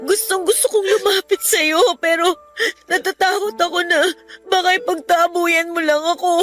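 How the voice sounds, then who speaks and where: A woman's voice: very high voice, a bit bright. A Filipino woman playing a remorseful teenage girl in a radio drama, contrite and emotional. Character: remorseful teenage girl apologizing to her best friend. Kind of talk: Tagalog radio drama acting. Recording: music bed under the voice.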